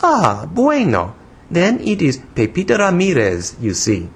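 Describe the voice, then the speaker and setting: A man acting out a pert young woman of a storybook tale, her childish voice pitched up high. She has a Spanish accent and teases and pouts in broken English, with lively swoops. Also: unhurried, breathy edge, exaggerated voice.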